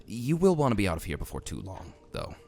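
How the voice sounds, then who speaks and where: A man's voice: fairly low pitch, wildly swooping pitch, fast, creaky, a little mellow, soft background music, full character voice. A man playing a secretive, dry, affable innkeeper in a fantasy audio drama, with a hesitant, wry manner. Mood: dry, businesslike. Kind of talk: fantasy podcast acting.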